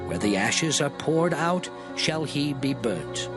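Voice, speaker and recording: An actor playing a solemn lawgiving voice in a dramatized Bible reading, declaiming scripture. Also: male voice, a little high, sing-song, slow. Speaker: scripture reader. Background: music under the voice.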